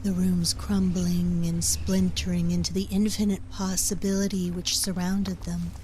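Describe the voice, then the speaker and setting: A woman performing as a solemn storyteller, giving measured, grave scripted narration for a dark fairy-tale drama. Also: lowish voice, level tone, a little hiss or hum, natural voice. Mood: grave, hushed.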